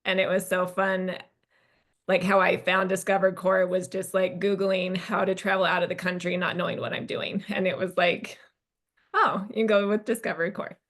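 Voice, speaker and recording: A woman's voice: on the low side, little pitch movement, moderate pace, fairly bright tone. A woman from Utah, a past traveler on a webinar panel, chatty and full of "like". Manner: chatty, says "like" often. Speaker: alumni guest. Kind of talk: casual chat.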